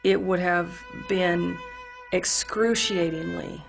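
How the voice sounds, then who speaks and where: A woman's voice: lowish voice, steady tone, takes it slowly, creaky. A woman giving reflective interview commentary on history for a documentary. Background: music.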